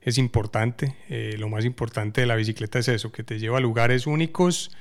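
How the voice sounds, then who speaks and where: A man from Medellín with a Colombian accent, speaking calmly and thoughtfully as an interview guest. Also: mid-range voice, brisk. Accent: Colombian Spanish from Medellín. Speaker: guest, amateur cyclist.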